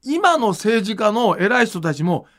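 A man's voice: high voice, sing-song, measured pace, a bit bright. A man hosting a podcast, chatting casually and explaining his thoughts in an informal, musing way. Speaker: podcast host and manga creator.

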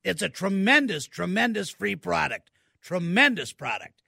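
Minnesota guy on a radio tech show, bright edgy voice, keeps repeating words to stress them. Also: high voice, big pitch swings, moderate pace, creaky, husky, no echo, studio quality. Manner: enthusiastic, repeats words for emphasis. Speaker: tech expert co-host. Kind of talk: radio call-in advice.

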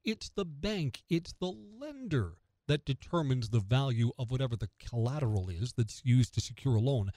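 American male radio host, emphatic opinion talk, very animated delivery, close dry studio sound. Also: mid-range voice, moderate pace, few pauses, no echo. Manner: emphatic, rhetorical questions answered by himself. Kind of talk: conversational opinion commentary on news radio.

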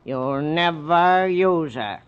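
Snooty menacing gang boss, highish voice, muffled old radio villain. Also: male voice, expressive, extremely slow, clear, resonant tone. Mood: cold menace. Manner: formal, condescending. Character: menacing, coolly superior male crime boss. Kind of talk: 1940s radio villain acting. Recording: muffled 1940s radio recording.

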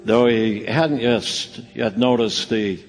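A man narrating a scripted radio play as a storyteller, in measured phrases broken by pauses. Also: mid-range voice, soft background music. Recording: echoey hall, live stage performance.

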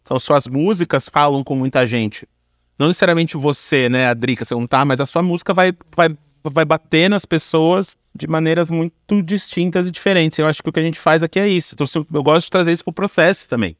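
A man's voice: on the high side, fast, no echo, studio quality. A man with a Brazilian accent talking casually and reflectively in an interview, slangy and informal, thinking aloud. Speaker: podcast guest, content creator and director.

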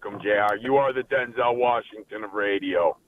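A man with a raspy voice calling a radio show, friendly and effusive. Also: on the low side, a little fast. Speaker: caller. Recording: tinny phone line, faint noise.

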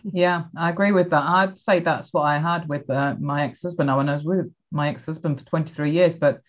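A woman's voice: sits low, fairly even intonation, brisk, muffled recording. A woman hosting a podcast conversation, agreeable and hesitant, with many fillers.

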